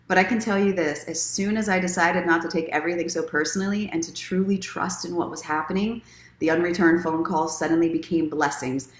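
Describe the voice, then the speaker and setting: Low-voiced woman in an echoey room. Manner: encouraging, conversational, self-help tone. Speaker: host of a daily online story time. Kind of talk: expressive reading aloud from own book, mixed with casual livestream chat.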